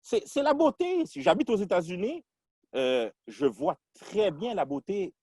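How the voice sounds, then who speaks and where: A man from Quebec talking through his identity in a casual podcast discussion, reflective and halting, restarting his sentences often. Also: sits high, very animated, mellow, breathy edge, no echo, studio quality.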